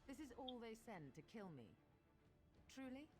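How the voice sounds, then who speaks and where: An actress playing a proud, commanding warrior leader in a video game, with an imperious, declamatory manner. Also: female voice, fairly high pitch, sing-song, moderate pace. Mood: scornful. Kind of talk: video game character acting.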